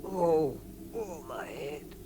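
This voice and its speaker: A man's voice: fairly high pitch, animated, drawn-out words, very noisy. An English man acting a small, fussy, good-hearted hobbit adventurer in a 1960s radio drama, with a polite, hesitant manner. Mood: dazed.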